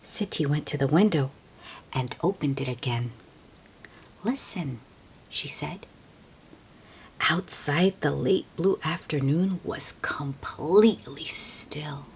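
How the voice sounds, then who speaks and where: A woman reading a picture book aloud to children as host, expressive and often hushed, with friendly asides to young listeners. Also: deep voice, slow pace, slight background noise.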